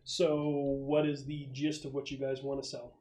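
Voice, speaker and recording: A man's voice: mid-range voice, flat delivery, normal speed, dark tone. A man acting as game master, explaining a scenario to players in a conversational way.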